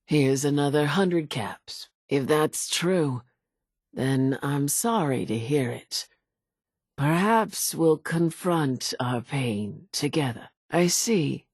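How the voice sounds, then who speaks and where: A woman's voice: low voice, expressive, slow, darkish tone, slightly rough, dry recording, clean studio sound, heavily acted. An actress playing an older island woman in a game, a folksy, dramatic storyteller who mourns her family and wants vengeance. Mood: grateful, measured. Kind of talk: scripted game character dialogue, theatrical storytelling.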